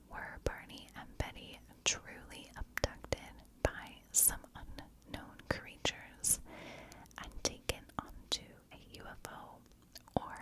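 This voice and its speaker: Slow whispering woman, ASMR storyteller. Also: poor audio. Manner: soft, unhurried, deliberate phrasing with pauses. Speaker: host of a true crime ASMR channel.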